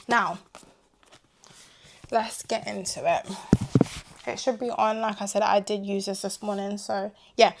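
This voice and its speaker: Chatty young woman, a little hoarse. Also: mid-range voice. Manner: chatty and animated, drifting into asides. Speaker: vlogger reviewing her tech purchases. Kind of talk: casual chat to camera.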